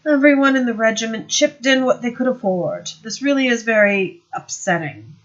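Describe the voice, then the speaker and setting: A woman voicing a mild, fretful aging male captain who talks slowly, mournfully and politely. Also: a little high, lively intonation, highs cut off, pitched above the performer's own voice, lightly acted.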